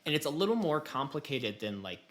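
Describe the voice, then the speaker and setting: A man's voice: on the high side, very animated, a little fast. A man co-hosting a casual podcast, telling an exasperated, fired-up story about video games. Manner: fired up, exasperated, mimics game prompts. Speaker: podcast host.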